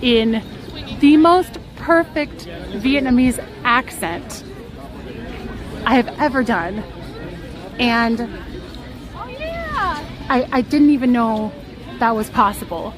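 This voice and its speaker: Giggly high-voiced woman at a busy theme park. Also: takes it slowly, pauses often. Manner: giggly, self-deprecating, chatty. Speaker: live-stream vlogger. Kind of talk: casual storytelling to a live chat. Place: outdoors at a theme park on a rainy day. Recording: park music and crowd noise behind.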